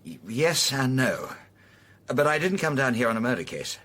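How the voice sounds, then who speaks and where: An actor playing a courteous, guarded middle-aged amateur detective in a radio drama, with a measured, wry manner. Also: male voice, mid-range voice, big pitch swings, sharp tone. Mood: polite, candid.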